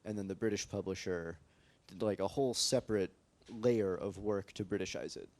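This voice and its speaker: An American man speaking as a translator on a panel, relaxed and rambling, with many fillers and restarts. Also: sits low, moderate pace. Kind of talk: casual panel discussion. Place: library event space with live audience.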